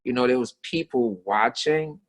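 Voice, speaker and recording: A man's voice: medium pitch, moderate pace. A man with an American accent talking casually as a guest, telling stories and philosophizing in emphatic, slangy, repetitive phrases. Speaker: guest, street artist. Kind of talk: casual conversational talk and storytelling.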